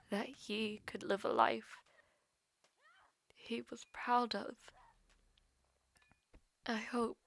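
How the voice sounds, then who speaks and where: A woman playing a proud, powerful mage villainess in an audio drama, speaking in a formal, imperious and deliberate manner. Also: medium pitch, a little hiss or hum. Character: proud powerful female mage, commanding, vengeful, self-sacrificing villainess. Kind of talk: dramatic audio roleplay acting.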